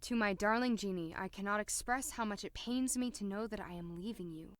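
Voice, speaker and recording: A woman's voice: mid-range voice, a little fast, dry recording, lightly acted. Woman playing a determined female doctor, dry close sound. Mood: sombre reading. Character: determined, sly, indignant female doctor working undercover. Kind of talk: audio drama acting.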